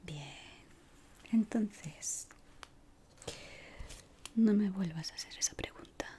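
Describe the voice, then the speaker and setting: A woman doing an ASMR roleplay in a breathy whisper, playing a polite but exacting decorator of an eerie office maze. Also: mid-range voice, slight background noise. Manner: slow, deliberate, pausing, softly spoken.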